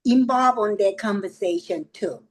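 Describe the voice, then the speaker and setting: A woman's voice: mid-range voice, moderate pace. A woman from South Korea with a Korean accent and Korean as her first language. She gives earnest, encouraging advice in casual conversation and repeats her key points. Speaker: guest.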